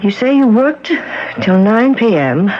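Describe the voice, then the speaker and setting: A woman's voice: fairly high pitch, very animated, a little slow. An American actress playing a persistent, businesslike woman police detective in a 1970s radio drama, questioning in a matter-of-fact way. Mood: probing. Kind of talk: 1970s radio drama acting and first-person narration. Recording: muffled vintage radio broadcast, hissy.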